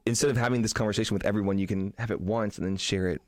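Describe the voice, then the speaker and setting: Podcast guest, young man, slightly hoarse, quick and informal, recorded close and dry. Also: on the low side, fairly even intonation, no room sound. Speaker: street magician, podcast guest. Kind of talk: casual conversational storytelling.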